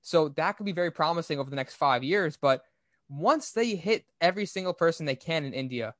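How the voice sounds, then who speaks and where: A man's voice: a little high, lively intonation, fairly quick, a bit bright, no echo, studio quality. A man hosting a podcast, giving opinionated, explanatory business commentary in a conversational way. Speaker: podcast host.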